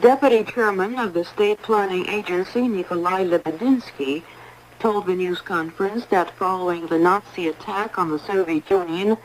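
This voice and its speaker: A woman reading a scripted news bulletin in a formal, measured way, heard over a 1980s shortwave radio broadcast. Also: lowish voice, slight background noise, rough recording. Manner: formal, measured announcer delivery.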